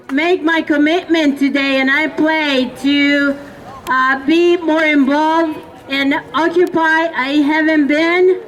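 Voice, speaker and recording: A woman from El Salvador speaks at an outdoor rally. Her speech is impassioned and halting, and she calls out to the crowd. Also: high-pitched. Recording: distant mic with crowd noise.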